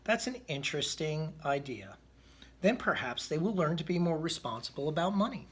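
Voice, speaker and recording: A man's voice: a little high, sing-song, normal speed, a bit bright, a little hiss or hum. A man voicing a blustery, exasperated but fair father bear from a storybook, explaining things emphatically. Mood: considering, explaining.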